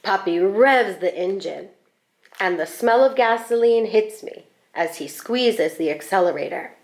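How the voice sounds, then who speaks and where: A woman reading a children's picture book aloud in an expressive, deliberate storytelling manner. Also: mid-range voice. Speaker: school staff storybook reader.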